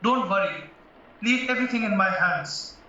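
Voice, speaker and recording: Man acting defence lawyer, fatherly, reassuring, audio play, sharp bright tone, distant muffled echo. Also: high-pitched, animated, normal speed. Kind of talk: stage-style radio play acting. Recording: muffled, distant, echoey room recording.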